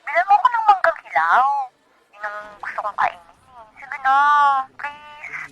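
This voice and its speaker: A sweet, coaxing young wife in a radio drama. Her voice is high and bright, with very lively intonation as she pleads. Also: a little slow, highs cut off, slight background noise, full character voice. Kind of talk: Filipino radio drama acting.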